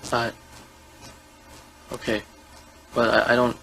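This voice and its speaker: A man in his twenties from Guyana, a suspect answering questions in a hesitant, halting way and stumbling over repeated words. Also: mid-range voice, monotone, creaky, bright, forceful tone, rough recording. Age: 20s. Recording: interview room audio with music overlaid.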